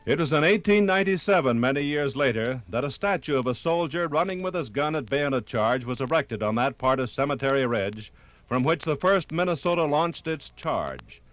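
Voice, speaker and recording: A man's voice: mid-range voice, expressive, normal speed, fairly bright tone. A man giving solemn, dramatic scripted narration in a 1950s radio style. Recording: 1950s radio recording, music behind.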